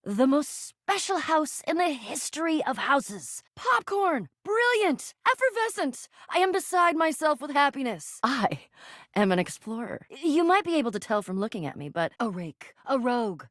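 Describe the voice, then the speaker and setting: Swooping, grandiose adventurer spirit. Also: female voice, a little high, normal speed, dry recording, studio quality. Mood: ecstatic. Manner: pompous, verbose, excitable. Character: exuberant, grandiose adventurer spirit of boxes and containers. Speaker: voice actor in a video game. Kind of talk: theatrical game character dialogue.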